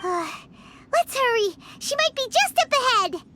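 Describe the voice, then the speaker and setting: A woman voicing a tiny, excitable and anxious fairy girl in a video game, with a squeaky childlike voice. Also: unhurried, heavy background noise, exaggerated voice. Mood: worried. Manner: speaks of herself in third person, exclamatory. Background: game music.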